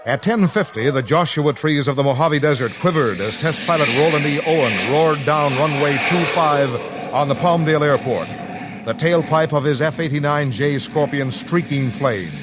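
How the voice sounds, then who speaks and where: An American man narrates a 1950s radio documentary in a solemn, deliberate, scripted style with measured phrasing. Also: fairly high pitch, over a noisy crowd, very noisy. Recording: vintage 1950s radio broadcast, music underneath. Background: dramatic music.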